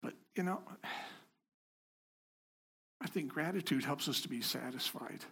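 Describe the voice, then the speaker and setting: Husky man preaching conversationally. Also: fairly high pitch, animated, a little slow, fairly bright tone. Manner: conversational, self-deprecating, joking with the congregation. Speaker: lay preacher. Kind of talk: informal sermon. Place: house of worship before a congregation.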